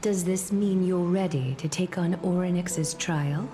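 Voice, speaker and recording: A woman playing a solemn, composed female leader in a video game, speaking formally and with measured resolve. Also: lowish voice, music in the background, natural voice.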